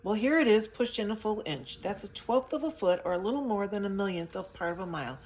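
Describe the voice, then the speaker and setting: Woman doing little man, gloomy, complaining, wailing, loud, storybook. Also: mid-range voice, animated, fast talker, pitched above the performer's own voice, lightly acted. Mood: fretful.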